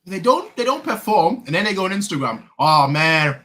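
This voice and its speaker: Young male fan-show co-host, bright and punchy, mocking outbursts. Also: high voice, big pitch swings, normal speed. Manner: ranting, mocking, bursts of outrage. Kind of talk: heated football fan chat.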